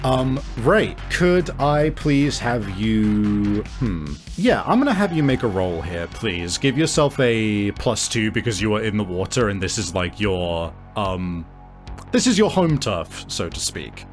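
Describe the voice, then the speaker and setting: A man's voice: lowish voice, big pitch swings, unhurried. Male game master narrating over music. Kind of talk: narrating a tabletop roleplaying game, improvised. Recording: music behind the voice.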